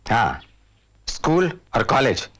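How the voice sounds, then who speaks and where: An actor playing a passionate, indignant social reformer in a period drama, declaiming like an orator. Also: male voice, mid-range voice, huge pitch swings, slow pace, fairly bright tone, heavily acted. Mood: earnest oratory. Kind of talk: Hindi period drama acting.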